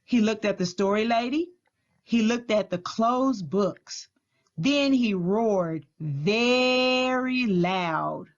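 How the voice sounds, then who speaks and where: A woman reading a children's storybook aloud as a teacher would, clearly, deliberately and expressively. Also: fairly high pitch, expressive, dull audio, slight background noise.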